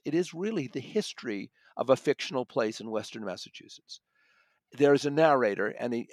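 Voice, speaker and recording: A man's voice: medium pitch, no room sound. A man reviewing books in conversation as a podcast guest, enthusiastic and considered in how he talks. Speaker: audiobook critic and podcast guest.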